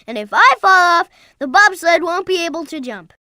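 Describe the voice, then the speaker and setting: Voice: lively intonation, normal speed, sharp tone, dry recording, clean studio sound, full character voice. A performer voicing an earnest, eager little boy fox sidekick in a video game, with a childlike voice. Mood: helpful, earnest. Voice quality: high, childlike.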